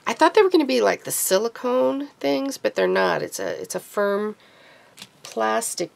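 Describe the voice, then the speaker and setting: A woman talking through a crafting project at her home table, chatty and meandering, often correcting herself. She has been sick, so her voice sits low and gravelly with vocal fry. Faint background noise runs under the recording. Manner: chatty, rambling, self-correcting. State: sick with a deepened voice. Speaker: craft vlogger. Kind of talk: casual craft tutorial.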